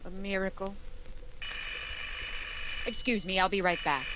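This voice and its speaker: An actress playing a wry schoolteacher in an old-time radio comedy. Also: female voice, medium pitch, unhurried, background noise, close to an everyday voice. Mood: bewildered. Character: wry, dreamy woman schoolteacher. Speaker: lead actress.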